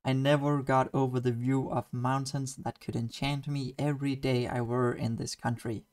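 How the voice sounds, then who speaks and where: A man's voice: medium pitch, little pitch movement, normal speed, darkish tone. A young man with a Danish accent, narrating a travel diary in an earnest, enthusiastic voice-over. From Denmark. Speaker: student vlogger.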